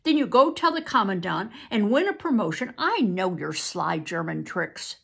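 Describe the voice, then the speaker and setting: Lady doing a stern sharp uncle giving orders, gravelly husky voice. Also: medium pitch, very animated, normal speed, heavily acted. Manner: sharp, commanding. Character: stern older uncle, boat captain.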